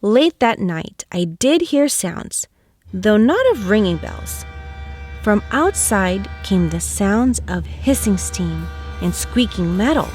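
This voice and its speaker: A woman reading a children's storybook aloud as a storytime host, with expressive, dramatic and careful phrasing. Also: mid-range voice, takes it slowly, soft background music.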